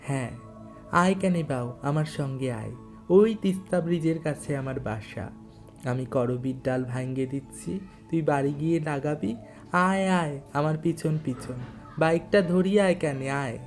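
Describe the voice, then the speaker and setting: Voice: medium pitch, unhurried, a little mellow, soft background music, natural voice. A narrator voicing an elderly village woman in a ghost story, coaxing and familiar, with a North Bengal dialect accent. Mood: coaxing, inviting. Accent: North Bengal (Jalpaiguri). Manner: rustic dialect, beckoning, familiar address. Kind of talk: audio ghost story character.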